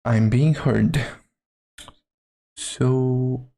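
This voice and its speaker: A man's voice: mid-range voice, fairly even intonation, drawn-out words, mellow. A man chatting casually as a live game streamer, hesitant and muttering to himself.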